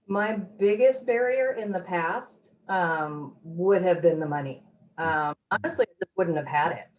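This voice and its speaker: A woman being interviewed over a video call, talking earnestly and reflectively in a rambling way, her voice a little hoarse. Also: fairly low pitch, measured pace, lo-fi sound. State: slightly hoarse. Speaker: course graduate, interviewee. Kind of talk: casual testimonial interview.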